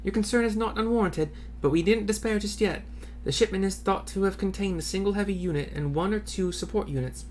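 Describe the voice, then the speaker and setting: Man reading as a formal, earnest army officer from a game. Raised pitch, measured delivery, light noise. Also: darkish tone, close to an everyday voice. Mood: reassuring. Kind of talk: amateur read-aloud of game dialogue.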